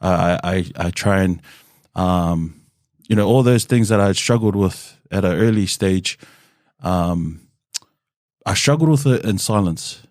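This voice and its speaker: A man, a podcast guest telling his life story in a relaxed, open way with plenty of "um" and "kinda". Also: low voice, unhurried, dry recording, studio quality. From New Zealand. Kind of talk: candid conversational storytelling.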